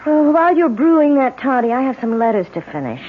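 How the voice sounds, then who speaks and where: An actress playing a sensitive, anxious young American bride in a radio drama. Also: female voice, high-pitched, very animated, a little fast. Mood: weary, polite. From United States. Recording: tinny old radio broadcast, music behind.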